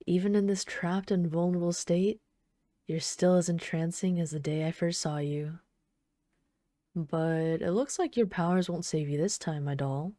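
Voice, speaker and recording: A mellow, dark-toned villainess played by a woman speaks close and very dry, as in an ASMR roleplay. She is an obsessive yandere bomber, soft and unhurried, teasing her captive hero with casual menace in a lowish voice. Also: steady tone, no echo, close to an everyday voice. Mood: smitten, teasing. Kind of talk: ASMR roleplay, intimate scripted monologue.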